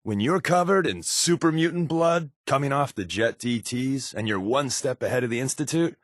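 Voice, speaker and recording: A man's voice: mid-range voice, animated, normal speed, no room sound, clean studio sound, lightly acted. An actor playing a wisecracking male spy companion in a post-apocalyptic video game, with a sardonic, dryly quipping manner. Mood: playful.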